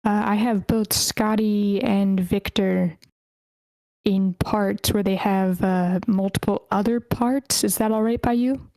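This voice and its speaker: A woman's voice: mid-range voice, steady tone, slow, dark tone, no echo, poor audio. A woman hosting an online table read, casually announcing and organizing things. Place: online voice meeting.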